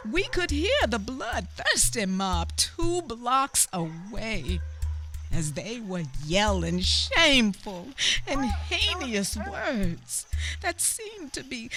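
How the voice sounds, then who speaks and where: Actress as solemn woman narrator recalling her youth; slow, expressive, hushed asides. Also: medium pitch, exaggerated voice. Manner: emphatic, with hushed asides and knowing murmurs. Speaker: narrator of a radio play. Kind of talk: radio theater storytelling.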